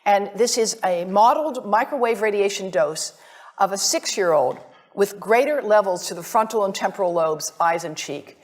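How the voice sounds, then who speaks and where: An American woman giving an academic presentation as a visiting scientist, speaking in a deliberate, emphatic way straight to her listeners. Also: mid-range voice, lively intonation. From United States.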